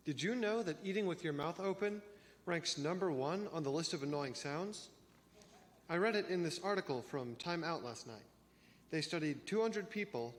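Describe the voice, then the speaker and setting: In a reverberant hall with faint noise, a young man plays a bookish teenage boy with a fairly high voice. He reads as an informative trivia lover in a church reader's theater. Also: close to an everyday voice. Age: teenager or young adult. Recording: echoey hall with background noise.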